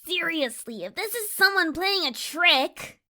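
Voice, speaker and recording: A woman voicing a curious, brash young pony filly who spooks easily, in expressive acted dialogue for a fan fiction audio story. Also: extremely high pitch, measured pace, full character voice. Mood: defiant. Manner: youthful, expressive, talking to herself.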